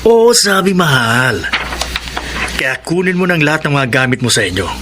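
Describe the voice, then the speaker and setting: A man's voice: a little high, sing-song, moderate pace, background noise. A man playing a sweet-talking, evasive bachelor lover of middle age in a Filipino radio drama. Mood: sweet, coaxing.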